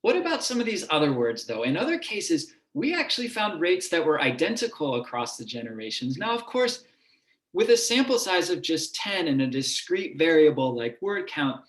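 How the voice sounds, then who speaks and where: A man, a university professor, giving a measured, explanatory lecture to a public audience. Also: fairly high pitch, sing-song. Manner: measured and explanatory, with occasional restarts. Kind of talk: lecture presentation. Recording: echoey room.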